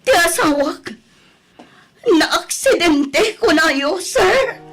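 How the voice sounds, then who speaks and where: A woman's voice: extremely high pitch, lively intonation, extremely slow, over music, full character voice. A woman with a Filipino accent playing a heartbroken, betrayed wife and mother in an audio drama, lamenting tearfully. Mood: shocked, tearful. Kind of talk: Ilocano audio drama acting.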